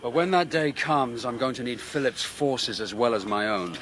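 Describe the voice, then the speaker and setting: A man's voice: medium pitch, moderate pace, fairly bright tone, slight background noise, lightly acted. An actor playing a bold, wry warrior prince who commands his soldiers in a historical audio drama. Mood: hearty. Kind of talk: historical radio drama acting.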